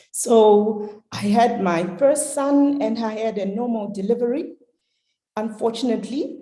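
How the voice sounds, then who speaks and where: Middle-aged woman from zimbabwe, talks really slow, mellow tone, echoey room. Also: on the high side. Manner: slow, measured storytelling. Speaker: hemophilia carrier sharing her story. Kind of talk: webinar talk.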